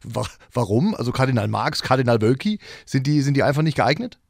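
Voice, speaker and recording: A man hosting a podcast, asking brisk questions and thanking his guest. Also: medium pitch, sing-song, creaky, no room sound, studio quality. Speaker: podcast host. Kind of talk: podcast interview.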